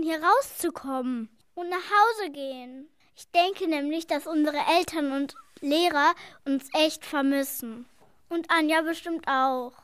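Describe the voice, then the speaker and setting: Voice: high voice, fairly bright tone, no echo. A child playing a young detective in an amateur radio play, reading the lines in an eager, worried way.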